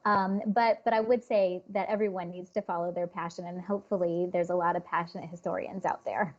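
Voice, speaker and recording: A woman guest in an interview who answers thoughtfully, halting and restarting her sentences. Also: fairly low pitch, steady tone. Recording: dull lo-fi sound.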